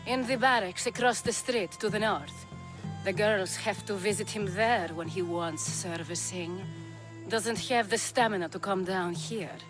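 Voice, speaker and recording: A woman's voice: mid-range voice, music in the background, close to an everyday voice. A woman playing a flirtatious, worldly, sardonic courtesan of ancient Athens in a video game. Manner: sardonic, teasing, unhurried. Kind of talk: video game cutscene acting.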